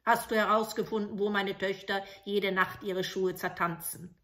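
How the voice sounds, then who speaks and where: A woman voicing a stately king and father in a storybook tale, formal and deliberate. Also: mid-range voice, flat delivery, a bit bright, natural voice.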